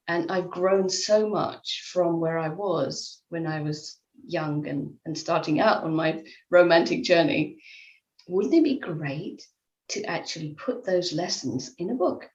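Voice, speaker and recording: Middle-aged woman, echoey and dull-sounding. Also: mid-range voice. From England. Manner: reflective, with frequent restarts and soft laughs. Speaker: author and life coach guest. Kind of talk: conversational interview answers. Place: at home in Spain, remote call. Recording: echoey room, slightly dull line.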